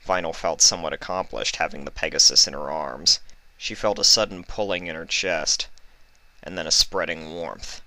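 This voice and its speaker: A man's voice: extremely low pitch, steady tone, normal speed, bright, forceful tone, no echo, poor audio. A man reading a story aloud as scripted narration. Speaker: fan fiction reader.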